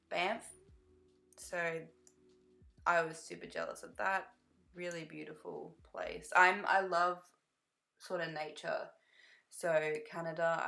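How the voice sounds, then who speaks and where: A young woman chatting casually over a game build in a rambling, easily sidetracked way, her voice slightly croaky from tiredness. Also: lowish voice, fairly bright tone. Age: young adult. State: slightly croaky from lack of sleep. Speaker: gaming video creator.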